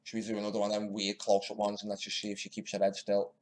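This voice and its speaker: A man's voice: on the low side, monotone, rapid-fire. A man giving casual, mocking commentary while editing clips, often muttering to himself. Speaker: video maker commenting on news clips.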